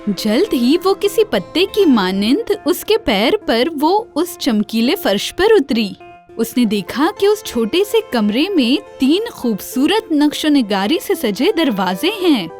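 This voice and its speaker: A woman narrating a scripted children's fairy tale in an expressive storytelling manner. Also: high voice, faint music behind.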